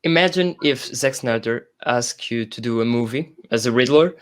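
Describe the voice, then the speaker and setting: A man's voice: medium pitch, moderate pace, a bit bright. A man co-hosting a livestream, asking a guest a casual question and searching for his words. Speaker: livestream co-host, interviewer.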